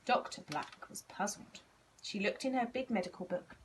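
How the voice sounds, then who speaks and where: Woman, storybook narration, very lively intonation, medium pitch, audible background noise. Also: moderate pace, distant mic, low-quality recording. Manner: animated, acting out dialogue. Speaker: volunteer story reader. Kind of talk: expressive children's storybook narration.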